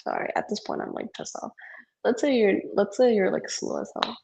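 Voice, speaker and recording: Young woman, first language indonesian, gravelly fry in her voice, rambly and sweary, tons of "like". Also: medium pitch, sing-song, a little fast, darkish tone. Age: young adult. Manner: heated rambling with constant filler and swearing. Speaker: podcast co-host. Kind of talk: casual venting chat.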